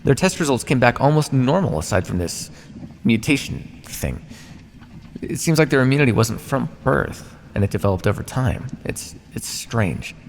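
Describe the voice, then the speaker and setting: A man acts out a sardonic vampire captor in an audio roleplay. His voice has a creaky, gravelly edge and moves animatedly up and down. It rings in a long reverberant space with faint noise behind it. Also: medium pitch, animated, normal speed, natural voice. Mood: defensive, exasperated. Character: teasing, menacing male vampire captor, centuries old scientist. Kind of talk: ASMR roleplay acting.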